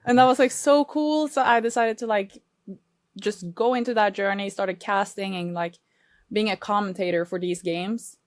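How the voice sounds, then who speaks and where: A woman's voice: mid-range voice, fairly even intonation, normal speed, dry recording, clean studio sound. A Norwegian woman in her twenties, a podcast guest, speaking English with a Norwegian accent in an earnest, reflective way. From Norway. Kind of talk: casual podcast interview.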